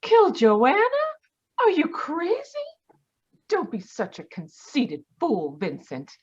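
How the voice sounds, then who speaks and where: A woman acting as a proud, melodramatic suspect in a vintage radio mystery. Her fairly high voice swings widely in pitch, on slightly dull, lo-fi audio. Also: wildly swooping pitch, slow pace, darkish tone, breathy edge, heavily acted. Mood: scornful, defiant. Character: proud, scornful female murder suspect, melodramatic.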